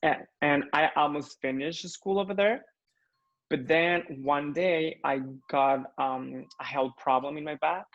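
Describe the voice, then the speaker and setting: Gravelly young Mexican man, earnest. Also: a little high, measured pace, fairly bright tone, dull audio. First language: Spanish. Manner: earnest, searching for words with many fillers. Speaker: floral designer guest. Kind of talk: casual interview chat.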